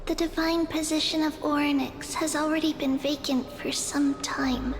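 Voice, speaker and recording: High girlish priestess, solemn, played by a woman. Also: level tone, moderate pace, close to an everyday voice. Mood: solemn, encouraging. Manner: ceremonial, formal. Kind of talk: video game voice acting. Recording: long reverb, music behind.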